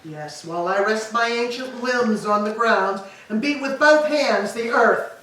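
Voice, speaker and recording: A woman's voice: fairly high pitch, a little slow, a bit bright. Woman playing grief-stricken aged queen, echoey hall. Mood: lamenting. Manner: declamatory, lamenting. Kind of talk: staged reading of Greek tragedy. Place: church, live staged reading. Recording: echoey hall, distant mic, background noise.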